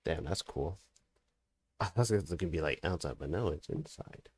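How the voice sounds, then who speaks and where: A man's voice: low voice, lively intonation, moderate pace, slight background noise. A man chatting casually on a gaming livestream, talking in slang and swearing freely. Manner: slangy, swearing, scat-singing to himself. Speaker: game streamer.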